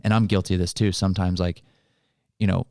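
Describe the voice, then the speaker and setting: A male podcast host with a notably low voice talks in a casual, motivational way. He lays out his points like someone explaining to a friend. The recording is very dry and free of room sound. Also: little pitch movement, fast talker, vocal fry, dark tone, no room sound.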